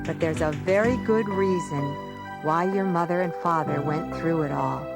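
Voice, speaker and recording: A woman's voice: low voice, moderate pace, vocal fry, loud music behind the voice. A woman narrating a scripted educational story for young children in a simple, explanatory way.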